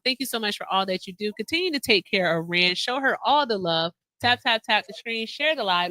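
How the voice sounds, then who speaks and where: A young woman hosts a casual livestream in a bright, forceful voice. She teases and presses her guest bluntly. The sound is close and dry. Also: medium pitch, no room sound. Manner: teasing, blunt, pushes guests for answers. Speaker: livestream host. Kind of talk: casual live-stream chat.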